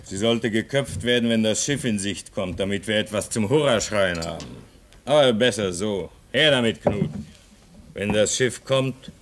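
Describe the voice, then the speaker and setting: A man's voice: lowish voice, normal speed, a little hiss or hum, natural voice. An actor in a German radio play as a homesick, restless man at a polar station, brooding and theatrical, swinging between muttering and outcry. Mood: restless, defiant.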